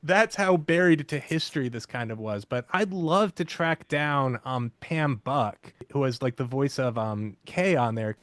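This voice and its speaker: A man's voice: mid-range voice, expressive, measured pace, hardly any pauses, a bit bright, no echo. A man hosting a casual, rambling and enthusiastic discussion of fan culture history. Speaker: host.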